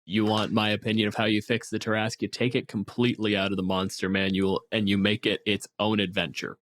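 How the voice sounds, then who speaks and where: A man co-hosting a casual tabletop game discussion, explaining things in an animated way and swearing freely. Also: on the low side, fast. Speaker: podcast co-host.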